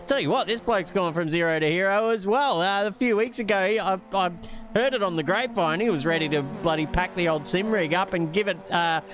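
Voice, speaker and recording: A man's voice: high-pitched, fairly quick, a bit bright, soft background music. An Australian man co-commentating a sim race in a rambling, slangy way with chuckles. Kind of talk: laid-back race commentary.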